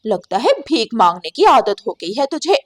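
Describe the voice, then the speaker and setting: A woman's voice: a little high, sing-song, normal speed. Woman voicing a furious, heartless lady bird. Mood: angry, scornful. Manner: scolding, harsh, contemptuous. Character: angry, heartless adult female bird neighbour.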